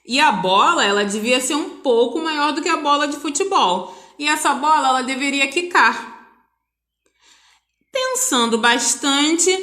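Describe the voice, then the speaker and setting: A Brazilian woman teaches a school lesson in a high-pitched voice. She speaks slowly and didactically, restating key points for her students. The sound is echoey and roomy. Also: a bit bright. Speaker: physical education teacher.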